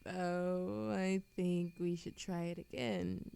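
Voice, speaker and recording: A woman's voice: on the low side, fairly even intonation, slow pace, gravelly, mellow, clean tone, dry recording, heavily acted. A woman acting a lovesick, world-weary immortal in a scripted romantic audio roleplay, with a dramatic, yearning delivery. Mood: tender, smitten.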